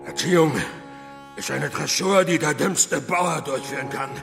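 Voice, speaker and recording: An actor playing a brutal, contemptuous count and tutor in a radio play, with a harsh manner. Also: male voice, on the high side, measured pace, creaky, a bit bright. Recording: reverberant hall with music behind.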